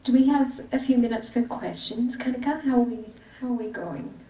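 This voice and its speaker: A woman curator moderating a public talk, gently prompting the speaker and keeping time. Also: fairly high pitch, animated, fairly quick. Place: gallery event space with audience. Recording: echoey hall from far off.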